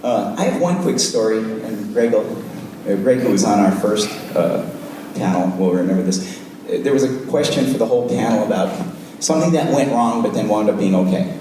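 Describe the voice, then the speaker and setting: A man hosting a live panel event, his voice hoarse, telling rambling stories with frequent restarts and chuckles. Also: on the low side, brisk. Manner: rambling, self-interrupting, chuckling. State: hoarse from shouting. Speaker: event organizer and host. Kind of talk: casual storytelling on a live panel. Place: event hall with a live audience. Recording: distant, echoey room recording with noise.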